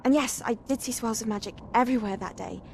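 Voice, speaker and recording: A woman's voice: a little high, fast talker, gravelly, darkish tone, slight background noise. An actress playing an earnest, eager female student at a wizard school in a video game, polite and inquisitive. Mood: eager, curious. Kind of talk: scripted video game acting.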